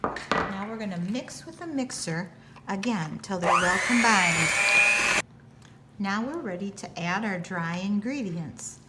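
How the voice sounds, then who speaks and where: A woman's voice: mid-range voice, lively intonation, takes it slowly. An American woman giving patient, step-by-step cooking instruction in simple, plain terms. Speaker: teacher giving a cooking demonstration. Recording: noticeable background noise.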